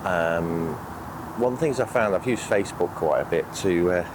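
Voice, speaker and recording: A British man with a croaky, sniffly voice from a cold, chatting casually and a little hesitantly as a fishing vlogger outdoors. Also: low-pitched, normal speed, background noise. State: has a cold. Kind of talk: casual outdoor vlog talk to camera. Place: outdoors on a lake bank.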